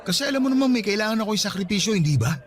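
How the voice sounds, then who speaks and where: An actor playing a remorseful, persistent young ex-boyfriend, pleading earnestly in a radio romance drama. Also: male voice, high voice, expressive, racing. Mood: apologetic, pleading. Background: background music.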